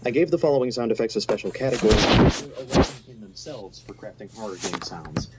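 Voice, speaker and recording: A man's voice: lowish voice, lively intonation, quick pace, heavy background noise. A man reading a scripted, analytical video essay narration.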